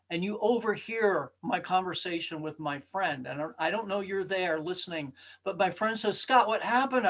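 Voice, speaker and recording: Male storyteller, high register. Earnest, dramatic delivery of a spoken parable, emphatic, playing out the dialogue. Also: fairly bright tone.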